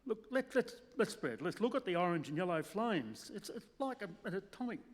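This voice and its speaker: Man playing excitable high-pitched stammering photographer. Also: brisk. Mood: pleading, awed. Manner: stammering, urgent, repeating himself. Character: excitable, pleading male press photographer, tipsy sidekick. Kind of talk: comic stage radio-play acting. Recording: reverberant hall.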